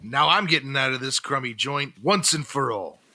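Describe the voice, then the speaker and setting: An actor playing a two-faced mission volunteer and schemer in a comedy radio drama in an old-time style. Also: male voice, medium pitch, lively intonation, moderate pace, noisy background, close to an everyday voice. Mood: furious, menacing. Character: fawning mission volunteer hiding a bitter, scheming villain.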